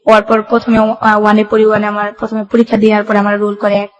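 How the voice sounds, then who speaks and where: A young woman with a Bangladeshi Bengali accent recounts her own life story at a quick pace. Her pitch sits in the middle range, and she talks colloquially in run-on sentences, quoting what people said as she goes. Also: completely flat delivery, lo-fi sound. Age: young adult. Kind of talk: personal life-story narration.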